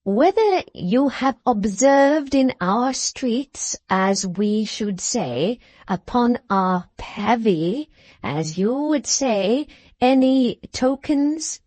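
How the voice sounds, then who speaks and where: A woman voicing a pompous, condescending middle-aged Englishman who talks slowly and over-explains, as to a deaf child. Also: a little high, expressive, darkish tone, no room sound, voice pushed up, heavily acted.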